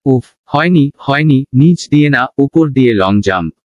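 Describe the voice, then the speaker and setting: A man's voice: medium pitch, lively intonation, measured pace, no room sound, lightly acted. Casting: man as blustering cartoon animal sports coach, instructing and full of himself, muffled recording. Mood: bossy instructing. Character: boastful, bumbling male animal sports coach, secretly timid. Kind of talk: children's cartoon dialogue.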